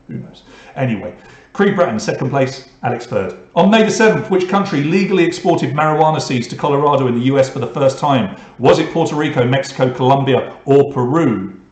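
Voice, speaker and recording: A man's voice: medium pitch, darkish tone, echoey room. A man from England hosting an online pub quiz, reading out questions and scores with joking asides to the players. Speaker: quiz host.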